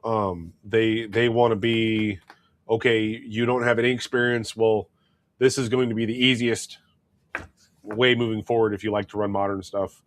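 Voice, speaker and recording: A man's voice: on the low side, flat delivery, moderate pace, a little hiss or hum. A man co-hosting a casual hobby chat, sounding plugged up from a head cold and rambling with many fillers and restarts. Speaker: co-host. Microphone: wireless clip-on mic.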